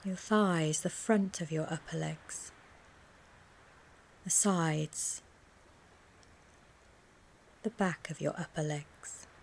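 A woman with a slightly low voice guides a mindfulness relaxation session. She speaks gently and soothingly, in short measured phrases with pauses between them. There is clear background noise on the recording. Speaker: wellness coach guiding a relaxation session.

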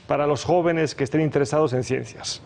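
A man in his fifties speaks on a TV studio set through a lapel mic, his voice a little higher than usual and gravelly. He talks in a measured, careful way, pausing on filler sounds as he gathers his words. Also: steady tone, a little mellow. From Germany. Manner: measured, hesitant with frequent "em" fillers. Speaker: ambassador guest. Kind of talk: TV morning show interview.

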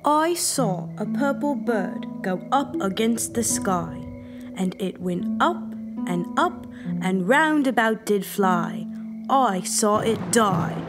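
A woman's voice: mid-range voice, lively intonation, takes it slowly. A woman voicing an innocent, timid, earnest young child in an audio play, with music behind. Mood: reciting.

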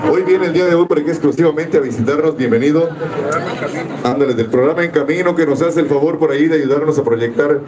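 A man announcing a live dance event, rattling off greetings to visitors. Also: fairly high pitch. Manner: lively, rapid shout-outs to visitors. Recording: crowd noise behind. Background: crowd.

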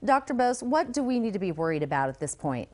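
A woman's voice: medium pitch, big pitch swings, quick pace, a little mellow. A woman anchoring the news from a TV studio, putting questions to a guest in a live interview.

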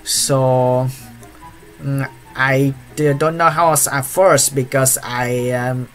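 Slow, hesitant young man on webcam. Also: medium pitch, fairly even intonation, faint music behind. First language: Vietnamese. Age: young adult. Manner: hesitant, many fillers and restarts. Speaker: YouTuber. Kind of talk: casual vlog story. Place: small room indoors.

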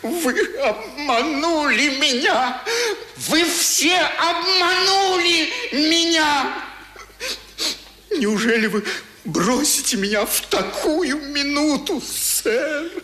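Smarmy pious fraud in an old radio play, slow, bright animated voice, overly polite. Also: male voice, extremely high pitch, slight background noise, heavily acted. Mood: wailing, desperate. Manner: oily, pompous, over-courteous. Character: unctuous, sanctimonious male hypocrite feigning wounded virtue. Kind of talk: 1970s radio drama acting.

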